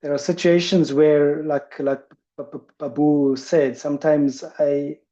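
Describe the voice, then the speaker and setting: Slow, thoughtful woman who stutters. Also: low voice, little pitch movement, warm tone. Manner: stutters with repetitions and blocks, thoughtful. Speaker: presenter. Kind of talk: reflective talk. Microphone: video call. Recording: muffled video call audio.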